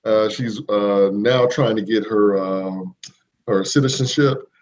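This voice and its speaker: A man's voice: fairly low pitch, steady tone, takes it slowly, a little mellow. A man on a podcast panel, rambling casually with many fillers. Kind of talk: casual chat. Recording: dull remote line with faint noise.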